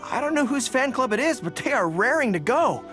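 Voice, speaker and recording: A man's voice: very high voice, sing-song, a little fast. A man playing a shy, dutiful young army general in a video game, speaking in an earnest, boyish, sometimes hesitant way. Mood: curious. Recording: game music behind the voice.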